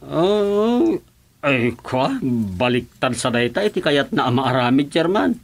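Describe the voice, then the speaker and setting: An actor playing a dutiful village official in a radio comedy drama, explaining deferentially with an Ilocano accent. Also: male voice, mid-range voice, lively intonation, slow pace. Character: village official dutifully reporting to his chairman.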